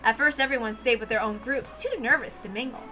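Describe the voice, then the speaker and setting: A woman reading a visual novel aloud in an expressive, informal way, dramatic in narration and casual in asides. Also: fairly high pitch, a little fast, faint music behind.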